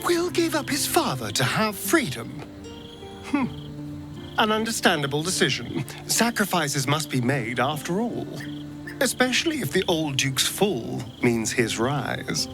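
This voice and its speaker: A woman's voice: low voice, very animated, a little slow. An actress playing a cool, calculating woman in a fantasy video game, pensive and detached. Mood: cool, approving. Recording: music behind the voice.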